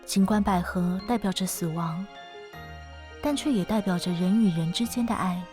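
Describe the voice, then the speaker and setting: A woman playing an ageless, gentle and wistful ferrywoman of souls in an audio drama, speaking in a reflective, poetic way with a quiet teasing edge. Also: mid-range voice, normal speed, lightly acted. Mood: tender, solemn. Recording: studio voice over background music.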